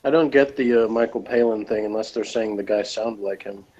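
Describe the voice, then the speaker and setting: A man's voice: lowish voice, fairly quick, warm tone. A man giving casual, conversational commentary as co-commentator on a review show. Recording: dull lo-fi online call audio.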